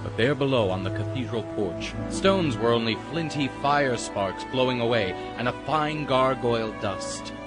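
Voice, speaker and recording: Male audiobook narrator, mid pitch, dramatic scripted read, heavy music bed. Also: expressive, normal speed, hardly any pauses. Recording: loud dramatic music under the voice.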